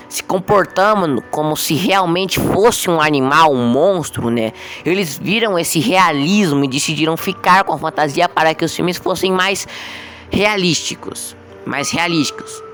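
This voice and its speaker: Young male video host, Brazilian accent, higher-pitched, informal conversational storyteller over prominent music. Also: a bit bright. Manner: informal, conversational, addresses viewers directly. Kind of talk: casual explainer storytelling.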